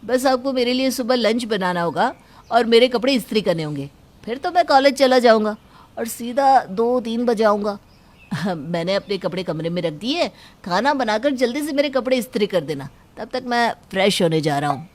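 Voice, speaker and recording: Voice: medium pitch, animated, moderate pace, close to an everyday voice. A young college man as put on by a performer in a family story. He is easygoing and casual as he tells others what he needs. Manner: instructing, casual.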